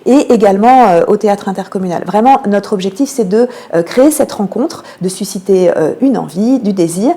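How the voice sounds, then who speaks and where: A woman with a dark, relaxed voice at a middle pitch answers as a radio interview guest. She explains her work in detail. Her phrases are often broken by long, searching fillers. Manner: explanatory, frequent hesitations and drawn-out fillers. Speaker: theatre programming manager, interview guest.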